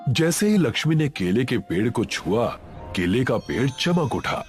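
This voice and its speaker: A man's voice: mid-range voice, big pitch swings, measured pace, soft background music. A man narrating a scripted folk story as a storyteller.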